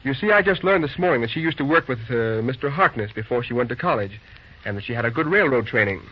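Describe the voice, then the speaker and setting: An actor playing an easygoing, slangy young railroad boss in an old radio drama, with a muffled recording. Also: male voice, mid-range voice, lively intonation, fast, husky. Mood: earnest. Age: young adult. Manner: slangy, breezy. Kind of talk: 1940s radio drama acting.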